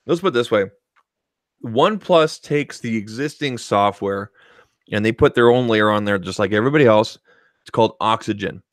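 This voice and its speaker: A man with a fairly low voice talks on a tech podcast, firm and opinionated, stressing his points with emphasis. The sound is close and dry, with no room around it. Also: normal speed, dry recording. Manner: emphatic, opinionated, says okay a lot. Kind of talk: casual podcast chat. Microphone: studio microphone.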